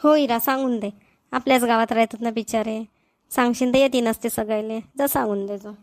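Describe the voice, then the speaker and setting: Woman doing nosy friendly village neighbour, high voice, dry sound, chatty, Vidarbha Marathi accent, cartoon comedy. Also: steady tone, a little fast, dry recording, natural voice. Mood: curious, agreeable. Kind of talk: amateur cartoon comedy dialogue.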